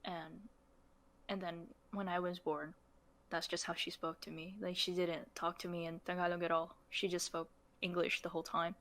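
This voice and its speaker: Young woman, from the Philippines, lowish voice, casual podcast chat, laughs a lot, trails off. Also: level tone, moderate pace, fairly bright tone. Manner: laughs often, trails off mid-sentence. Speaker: podcast co-host.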